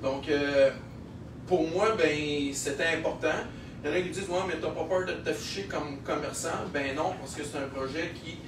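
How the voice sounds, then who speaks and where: A man from Quebec with a Québécois accent, a microbrewery owner giving an animated speech at a local launch event, with many fillers. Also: fairly high pitch, moderate pace. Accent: Québécois French. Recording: echoey room, heard from a distance, noisy.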